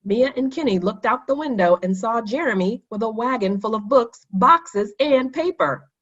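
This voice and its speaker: Lady doing a kids read along, really lively pitch, teachery phrasing, bit muffled sound. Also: moderate pace. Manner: clear, instructive phrasing with questions to young listeners. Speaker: storyteller reading a children's book aloud. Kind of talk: expressive read-aloud for children.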